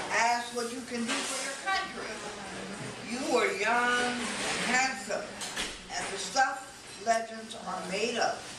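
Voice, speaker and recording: A woman playing a proud, plainspoken male soldier-president in a readers' theater stage reading. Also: fairly high pitch. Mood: encouraging. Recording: echoey room, distant mic, noisy.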